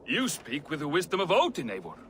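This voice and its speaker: An actor playing an anxious, earnest young Viking sentry with a husky voice. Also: male voice, fairly high pitch, huge pitch swings, brisk, fairly bright tone, slight background noise. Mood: worried.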